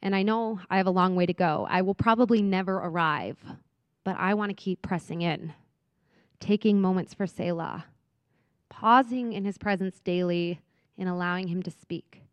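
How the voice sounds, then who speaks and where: A woman speaker at a church who closes a reflective talk and then leads the room in a soft, earnest prayer. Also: on the low side, normal speed, smooth, dry recording. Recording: dull, very dry sound.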